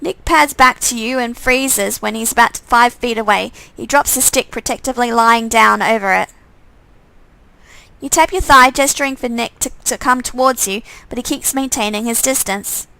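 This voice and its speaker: A woman reading a visual novel aloud in a halting way, often stumbling and correcting herself as she narrates. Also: fairly high pitch, vocal fry, sharp tone, clean tone, dry recording, poor audio. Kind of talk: live read-aloud narration with character dialogue.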